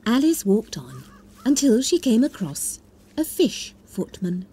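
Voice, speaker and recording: A woman narrating a children's read-along story in an expressive, deliberate storytelling manner. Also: on the high side, husky. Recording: music bed under the voice. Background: music.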